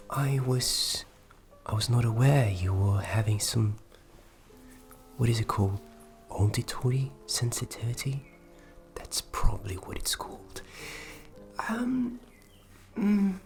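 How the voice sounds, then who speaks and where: A man's voice: medium pitch, creaky. A man playing a fussy, apologetic, well-meaning fairy friend in a fantasy ASMR roleplay, hesitant and often dropping into whispers.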